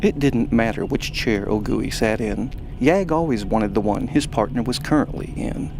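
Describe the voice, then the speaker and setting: A man's voice: on the low side, normal speed, gravelly, noisy background. A man narrating a science fiction audio drama in a dry, wry tone. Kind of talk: scripted story narration. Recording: music bed under narration.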